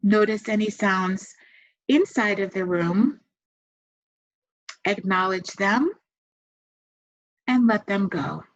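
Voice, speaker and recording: A woman leading a guided meditation, giving gentle, soothing instructions with long pauses. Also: mid-range voice. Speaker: meditation guide and massage therapist. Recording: dull, muffled lo-fi sound.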